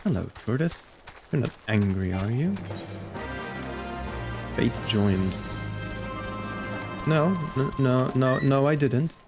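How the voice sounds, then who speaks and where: A man's voice: mid-range voice, animated, slow pace, long pauses, over music. A man chatting casually and playfully with viewers as he plays and comments on a game on a live stream.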